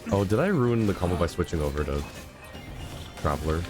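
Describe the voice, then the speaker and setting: A man with a deep voice gives casual commentary as he streams a game. His pitch moves around in a lively way, and game music is clearly audible behind him. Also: brisk.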